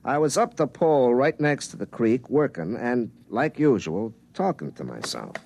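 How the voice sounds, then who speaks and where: A man's voice: medium pitch, sing-song, moderate pace, vocal fry, a little mellow, a little hiss or hum. Casting: hapless young American telephone lineman, mid-range male voice, plaintive folksy storyteller, vintage radio play. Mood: wry storytelling. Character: hapless, earnest young telephone lineman narrating his misadventures. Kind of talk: 1950s radio drama acting, first-person comic narration. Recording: 1950s radio broadcast recording.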